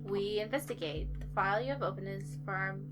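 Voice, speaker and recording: A woman co-hosting a gaming video, bantering casually in an animated, teasing, giggly way. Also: medium pitch, fairly quick. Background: game music.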